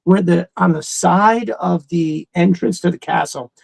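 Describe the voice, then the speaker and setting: Excitable high-voiced man with creaky edge. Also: a little slow, dry recording. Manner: excitable, rambling, profane. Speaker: podcast co-host. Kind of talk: casual fan chat.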